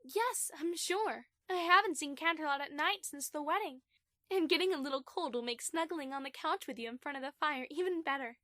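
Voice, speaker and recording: An actress plays a gentle, affectionate pony wife in an audio drama. She sounds high and composed, recorded close and dry. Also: fairly quick, no echo. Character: gentle adult mare wife, affectionate, assured. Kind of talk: fan audio drama acting.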